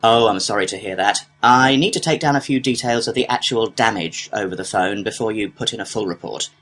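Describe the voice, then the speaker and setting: A man's voice: on the low side, brisk, a bit bright, slight background noise. A man playing a courteous complaints clerk, reading scripted customer-service dialogue in a helpful, procedural way. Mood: courteous, businesslike. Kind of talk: scripted listening-test dialogue.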